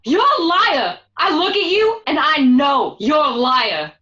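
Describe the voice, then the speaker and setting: A woman's voice: high voice, big pitch swings, measured pace, pushed and bright, dull audio, exaggerated voice. A woman playing a suspicious, wounded gangster's wife in a radio drama, emphatic and confrontational. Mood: accusing. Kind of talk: amateur radio drama acting.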